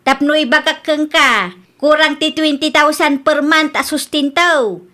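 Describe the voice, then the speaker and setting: A woman's voice: high voice, measured pace, bright, forceful tone. A woman playing a greedy, gambling older mother in a comedy radio drama, blunt, nagging and insistent. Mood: demanding.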